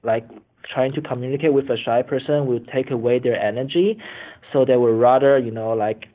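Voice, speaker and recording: Man on a phone line, halting speech. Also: medium pitch. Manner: halting, repeats and restarts words often. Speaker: former reality show contestant being interviewed. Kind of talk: spontaneous interview talk. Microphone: phone call. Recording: phone line, band-limited.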